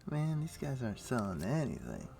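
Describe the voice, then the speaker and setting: A man's voice: mid-range voice, huge pitch swings, fairly quick, gravelly, warm tone. A man with a morning voice giving casual live commentary on a game stream, chatting informally to viewers in gamer slang. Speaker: game livestreamer. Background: music.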